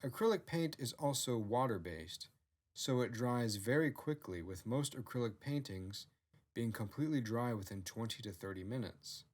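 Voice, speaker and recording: A man's voice: mid-range voice, lively intonation, normal speed. A man reads scripted narration as the author of the written work. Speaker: author narrating own novel.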